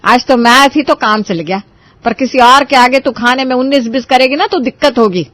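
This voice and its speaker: A woman's voice: high voice, moderate pace, a bit bright, no room sound. A woman playing a bossy, complaining, food-loving middle-aged mother-in-law in a story. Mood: grumbling.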